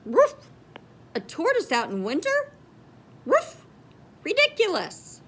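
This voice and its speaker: A woman's voice: very high voice, huge pitch swings, takes it slowly, fairly bright tone, pitched above the performer's own voice, heavily acted. A woman voicing a mocking dog that barks and taunts a tortoise. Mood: taunting. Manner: taunting, jeering.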